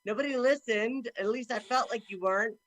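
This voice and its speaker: A middle-aged woman hosting a talk show over a video call, with a deep, carrying voice, effusive and chatty. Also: fairly quick. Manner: effusive and warm, talks a lot. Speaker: host. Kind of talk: casual chat. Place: indoor room at home.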